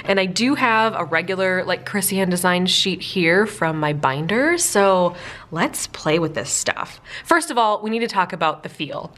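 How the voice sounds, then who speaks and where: A woman's voice: lowish voice, animated, moderate pace, a bit bright. A young woman with a slightly stuffed-up voice talks bubbly and chatty, full of likes and ums. She sits at a desk indoors under an overhead camera, testing pens in a casual planner vlog. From Minnesota, USA. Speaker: planner sticker vlogger. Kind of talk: casual chat.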